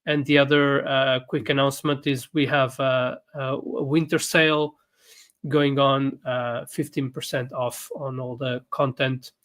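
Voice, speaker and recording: A man whose first language is Portuguese speaks in English as a co-host. He talks hesitantly, with many fillers and soft laughs. His voice sits at a middle pitch. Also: level tone, unhurried. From Portuguese-speaking country. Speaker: co-host, runs online academy. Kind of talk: casual livestream chat.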